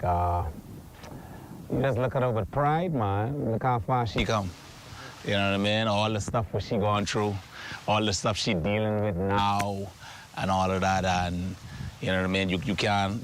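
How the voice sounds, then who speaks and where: A man's voice: low-pitched, slight background noise. A man from the Bahamas with a Bahamian accent, chatting casually in an interview in dialect, often saying "you know what I mean". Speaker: interviewed rapper.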